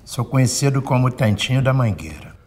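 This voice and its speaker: A man in his late sixties, a samba singer, tells his story with feeling. His voice sits at an ordinary pitch. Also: expressive, fairly quick, darkish tone, a little hiss or hum. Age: 60s.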